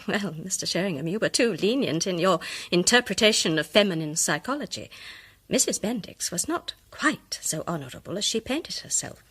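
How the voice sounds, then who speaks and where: A lady amateur detective in a radio drama. She is formal, incisive and self-possessed, at a medium pitch with lively rises and falls and a slightly husky tone. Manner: precise, assured, formal. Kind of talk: British radio drama acting.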